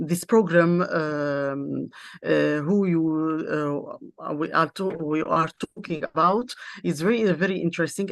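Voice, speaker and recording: Slow, halting woman speaker. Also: low voice, no room sound, clean studio sound. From Egypt. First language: Arabic. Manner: halting, searches for words with many pauses. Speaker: keynote speaker. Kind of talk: conference presentation.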